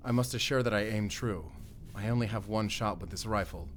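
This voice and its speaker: An actor playing a stern, secretive werewolf hunter with a German accent, giving terse, grave orders in a cinematic audio drama. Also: male voice, on the low side, brisk, natural voice. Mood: grave, hushed, wary.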